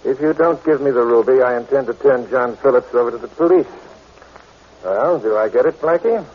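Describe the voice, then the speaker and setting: An American actor playing a coolly calculating blackmailer in a 1940s radio drama, smug and insinuating. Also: male voice, medium pitch, fairly quick, breathy edge, lightly acted. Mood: coolly menacing. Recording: muffled old radio recording.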